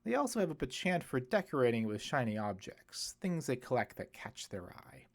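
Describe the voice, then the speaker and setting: A kindly priest in an audiobook, acted out by a man, muses and explains with gentle wit. His mid-pitched voice rises and falls in a very lively way, and his manner is reflective and apologetically polite. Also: a little fast, vocal fry. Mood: gentle, informative. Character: kindly, musing, gently witty adult male priest. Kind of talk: audiobook character dialogue.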